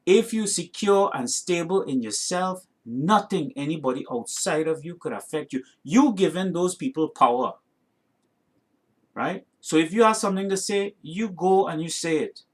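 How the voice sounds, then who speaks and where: A man's voice: a little high, expressive, moderate pace. Indignant man with a light Trinidadian lilt. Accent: North American with some Trinidadian. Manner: emphatic, indignant, rhetorical tag questions. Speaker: reaction video host. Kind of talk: opinionated reaction commentary to camera.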